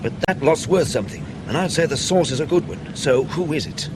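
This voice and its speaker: An actor playing a wry British intelligence officer in a radio drama, questioning in a measured, pressing way with dry understatement. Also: male voice, a little high, natural voice. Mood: probing, insistent. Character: probing, wry British male intelligence officer debriefing an agent. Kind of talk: naturalistic radio drama acting. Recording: clear ambient noise behind the voice.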